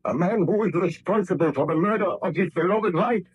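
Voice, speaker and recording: An actor playing a tormented, guilt-ridden aristocratic scientist in an old radio horror drama, with grave, formal, theatrical delivery. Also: male voice, sits high, brisk, husky, dull audio. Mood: fervent, haunted.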